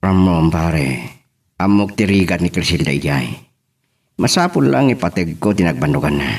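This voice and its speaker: A man acts out an anxious husband left at home with the kids. His voice is on the low side, with big swings in pitch. He speaks hesitantly and conversationally, as in an amateur audio drama. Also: very animated, moderate pace, darkish tone, breathy edge, heavily acted. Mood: somber.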